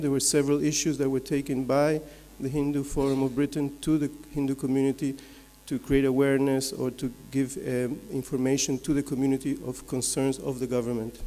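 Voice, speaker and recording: A man from Belgium, giving a measured, explanatory slide presentation as a conference speaker, point by point. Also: mid-range voice, mellow. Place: large conference hall. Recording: echoey hall with long reverb.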